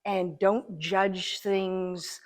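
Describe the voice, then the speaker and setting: A woman giving conversational interview answers as a guest, thoughtful and halting as she pieces her phrases together. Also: medium pitch, steady tone. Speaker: interview guest, amateur stage actor.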